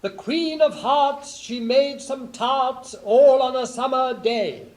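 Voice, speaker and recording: An actor playing an officious, anxious rabbit court herald, declaiming in a 1950s audio storybook. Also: male voice, very high voice, slow. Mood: proclaiming. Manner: declamatory, fussy.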